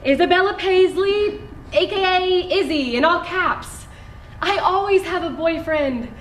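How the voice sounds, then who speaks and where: A woman's voice: extremely high pitch, unhurried. An actress on stage playing an earnest, romantic woman, with theatrical, projected delivery. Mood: brisk, persuasive. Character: Isabella, earnest, romantic woman recalling her youth. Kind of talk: live stage play acting. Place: indoor theatre stage, far from microphone. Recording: distant, echoey theatre stage recording with faint noise.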